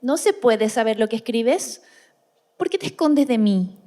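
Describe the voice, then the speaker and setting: A woman's voice: a little high, animated, unhurried, dark tone. A Chilean actress playing a feisty, teasing young schoolgirl sister in a theatrical, exclamatory dramatized reading. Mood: guarded, curious. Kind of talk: dramatized stage reading, radio play.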